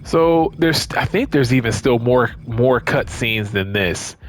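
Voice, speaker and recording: A man's voice: medium pitch, expressive, a little slow. A man giving casual, off-the-cuff commentary on a video game, emphatic and repeating words for stress. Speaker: gaming video creator.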